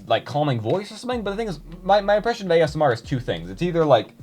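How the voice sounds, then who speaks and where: A man's voice: fairly high pitch, big pitch swings, very fast, a little mellow, faint music behind. A young man chatting casually to his viewers, rambling with many fillers and restarts. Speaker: YouTuber reading comments.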